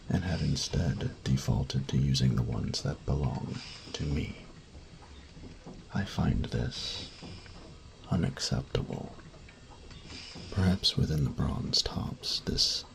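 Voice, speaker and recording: A man playing a grumpy, fastidious steampunk artificer-mage in an audio roleplay, speaking formally and precisely with pedantic, halting phrasing. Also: very deep voice, vocal fry, slight background noise, heavily acted, rough recording. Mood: curt, formal, then stiff, matter-of-fact. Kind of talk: scripted roleplay audio drama, addressing the listener.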